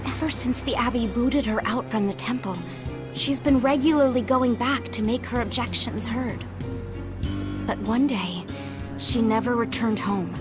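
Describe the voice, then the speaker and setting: Game role: meek young innkeeper's daughter voiced by a woman, highish pitch, worried, halting, music underneath. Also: close to an everyday voice. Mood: pleading. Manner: hesitant, pleading. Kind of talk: video game voice acting.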